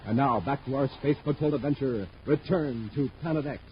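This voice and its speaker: A man's voice: medium pitch, a little fast. A man announcing and narrating in 1950s radio style with an emphatic, dramatic showman delivery on an old recording. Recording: muffled old radio recording, faint noise.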